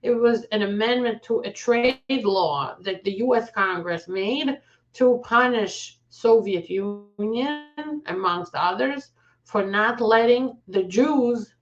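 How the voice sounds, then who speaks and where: A woman's voice: fairly high pitch, slow pace, lo-fi sound. A woman in her forties, an immigration lawyer from Ukraine, telling her own family story in an earnest, conversational way. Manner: earnest, conversational, with self-corrections. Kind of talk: personal story to camera.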